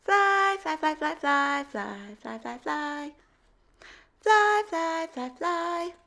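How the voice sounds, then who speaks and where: A woman's voice: sits high, expressive, drawn-out words, creaky, pushed and bright, voice pushed up, full character voice. A woman voicing an eager, determined young female pig in a children's picture book, speaking in short, simple exclamations. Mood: eager effort.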